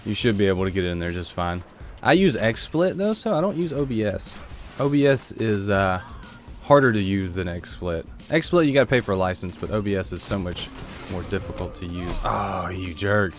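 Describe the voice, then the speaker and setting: A man giving casual gameplay commentary while playing a shooter, with a congested throat he keeps clearing, chatting to viewers and reacting aloud. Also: sits low, animated, normal speed, faint music behind. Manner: chatty, reacts aloud to the game, answers chat. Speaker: gaming YouTuber.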